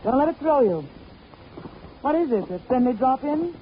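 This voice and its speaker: A tough, sarcastic yacht wife. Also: female voice, sits high, lively intonation, normal speed. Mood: sardonic. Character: sharp-tongued young wife on a yacht, cynical. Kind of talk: 1940s radio acting. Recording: dull old radio recording with faint hiss.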